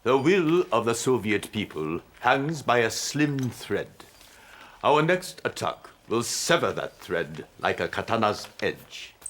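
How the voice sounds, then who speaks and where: An actor playing a solemn, imperious ruler of a proud empire in a video game cutscene, proclaiming in a grave, stately manner. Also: male voice, medium pitch, very animated, slow pace, fairly bright tone, slight background noise. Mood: grave, menacing.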